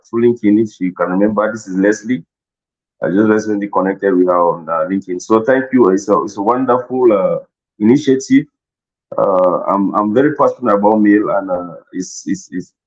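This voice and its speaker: A man from Cameroon thanking the hosts at an online meetup, warm and grateful, stumbling over repeated words. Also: on the low side. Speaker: meetup participant. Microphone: video call. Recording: dull lo-fi call audio.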